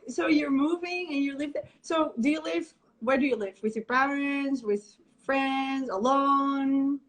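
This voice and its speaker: A woman's voice: high voice, unhurried, a bit bright, low-quality recording. A woman from the Netherlands with a Dutch accent, hosting a casual video-call chat, bubbly and chatty with frequent laughter. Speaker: host interviewer.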